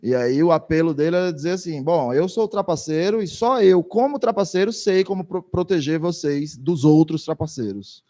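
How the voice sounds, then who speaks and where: Hesitant male interpreter, slightly high voice. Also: moderate pace, hardly any pauses, warm tone. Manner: hesitant, with many restarts. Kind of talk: consecutive interpretation.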